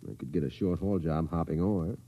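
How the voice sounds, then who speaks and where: A man playing a gruff, slangy, down-on-his-luck rocket pilot in a 1950s radio drama. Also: deep voice, brisk, no room sound, dull audio. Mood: brusque, weary. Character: blunt down-on-his-luck rocket pilot running a fairground ride.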